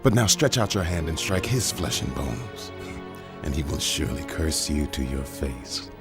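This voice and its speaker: An actor playing Satan as a sly, mocking, menacing spirit in a dramatized audio Bible, taunting and insinuating. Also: male voice, extremely low pitch, sing-song, normal speed, over loud music, exaggerated voice.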